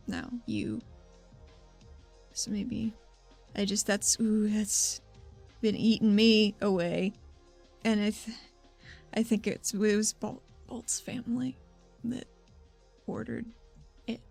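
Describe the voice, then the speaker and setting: A woman improvising in-character dialogue as a player in a tabletop role-playing show, halting and confiding. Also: medium pitch, fairly even intonation, gravelly, darkish tone, breathy edge. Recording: music bed behind the voice.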